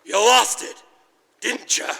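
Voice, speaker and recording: An actor playing a drunken, grieving old druggist in a harsh, anguished 1940s radio drama manner. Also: male voice, takes it slowly, pushed and bright. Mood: drunken rage. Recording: reverberant church hall, live stage.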